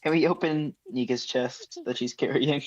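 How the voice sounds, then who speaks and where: A man's voice: mid-range voice, fairly even intonation, normal speed. A man chatting casually as a player in a tabletop game, on dull, lo-fi audio.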